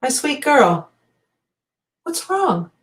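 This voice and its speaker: A woman's voice: high-pitched, sing-song, extremely slow, reverberant, recorded from a distance, full character voice. A woman acting a mother comforting her child, soothing and repeating her reassurances. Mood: anxiously soothing. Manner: soothing, repetitive. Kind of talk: dramatic acting.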